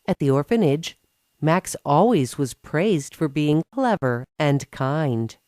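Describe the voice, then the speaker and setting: A woman reads a children's story in a low voice. Her delivery is very slow and richly melodic, and the recording is dry and close. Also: expressive, a little mellow, dry recording. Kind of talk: scripted children's story narration.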